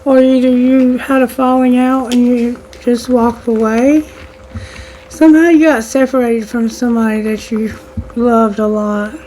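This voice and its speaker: Slow, flat-toned woman with a strained voice. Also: a little high, a little hiss or hum, rough recording. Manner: speaks straight to listeners as "the collective", with spiritual wording and prayer. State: losing her voice, strained. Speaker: tarot reader. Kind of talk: channel tarot reading for a general audience.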